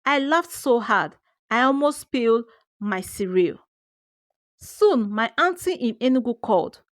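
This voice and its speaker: A woman with a Nigerian accent telling a scripted first-person story in an expressive, theatrical way. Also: a little high, measured pace, no echo, studio quality. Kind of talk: dramatic first-person scripted folktale narration.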